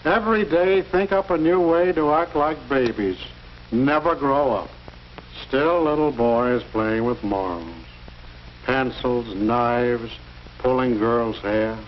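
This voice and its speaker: An actor playing a grumbling, sardonic older school janitor in a 1940s educational film. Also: male voice, medium pitch, slow, natural voice. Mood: grumbling, scornful. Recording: muffled, noisy old 1940s film soundtrack.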